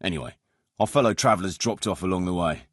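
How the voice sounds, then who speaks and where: A man's voice: low-pitched, fast, breathy edge, dry recording, studio quality. A man playing a roguish, world-weary medieval mercenary captain in a video game, firm but fond, speaking bluntly and colloquially. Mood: wry storytelling. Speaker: game character, band leader. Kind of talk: video game dialogue acting.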